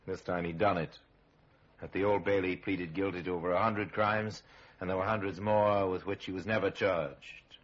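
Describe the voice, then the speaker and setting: A man acting as the grave, sardonic narrator of an old radio crime drama, telling it with measured, ominous irony. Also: low-pitched, steady tone. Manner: measured, ironic, ominous storytelling. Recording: muffled vintage radio recording.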